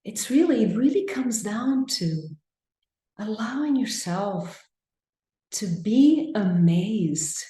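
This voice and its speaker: A woman with a Brazilian accent leading a mindfulness class on a video call, gentle and affirming. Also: mid-range voice, roomy sound. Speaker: mindfulness teacher. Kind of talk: live online class, reading chat responses.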